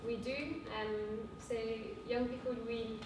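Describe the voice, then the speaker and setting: A woman's voice: steady tone, slow. French-accented woman in an echoey hall. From France. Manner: earnest, hesitant with frequent fillers. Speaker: cooperative speaker. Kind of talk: conference talk. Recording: echoey hall from far off with rumble.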